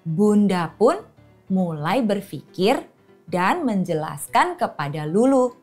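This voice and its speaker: A woman reads stories and riddles to young children, her pitch rising and falling with animated exclamations. She speaks slowly and clearly so little listeners can follow. Faint music plays behind her. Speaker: children's storyteller and host.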